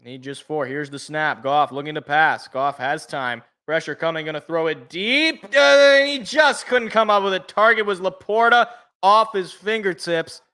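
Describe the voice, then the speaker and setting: A young man calling a football game play-by-play from a sports podcast, casual, with a slightly rough, sniffly voice from allergies. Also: on the high side, normal speed. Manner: excited calls, casual asides. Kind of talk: live play-by-play commentary.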